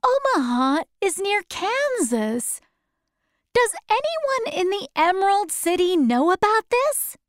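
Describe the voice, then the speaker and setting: A woman's voice: extremely high pitch, sing-song, slow pace, no echo, clean studio sound, pitched above the performer's own voice, exaggerated voice. Woman reading as a forthright young girl. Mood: questioning.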